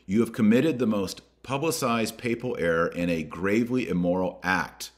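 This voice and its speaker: A man hosting a podcast, reading a document aloud with emphatic, deliberate delivery and adding his own commentary. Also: lowish voice. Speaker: podcast host.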